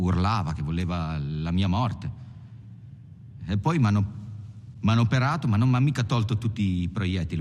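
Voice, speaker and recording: An actor playing a weary, aggrieved bank robber who tells his story haltingly in a film monologue. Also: on the low side, a little mellow, a little hiss or hum, close to an everyday voice. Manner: halting, repeats words. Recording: long echoing reverb, faint music.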